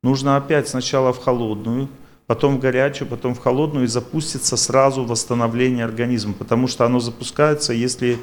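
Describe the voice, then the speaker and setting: A man of middle pitch gives a lecture and fields questions from the audience. He rambles and circles back over the same points, but he never sounds unsure of himself. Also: steady tone, fast talker. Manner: rambling, repetitive, self-assured.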